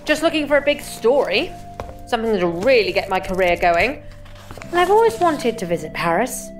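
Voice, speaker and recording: A woman's voice: on the high side, sing-song, soft background music. An actress playing a playful, teasing young female journalist in an audio drama. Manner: teasing, playful, quick-witted.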